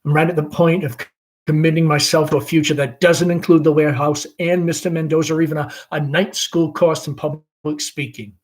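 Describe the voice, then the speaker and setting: A man's voice: fairly high pitch, fairly even intonation, brisk, lightly acted. A man playing a restless, dreamy and discontented young warehouse worker in a stage play read aloud, with impassioned, brooding speeches. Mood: restless resolve.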